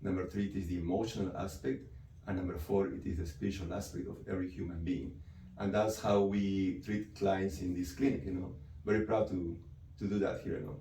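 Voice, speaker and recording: Brief: male doctor, deep mellow voice, recorded far off in an echoey room with faint hiss. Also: level tone, a little fast. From Peru. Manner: explanatory, frequent "right?" and "you know" tags. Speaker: psychiatrist. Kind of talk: interview answers. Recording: echoey room, mic from a distance, faint noise.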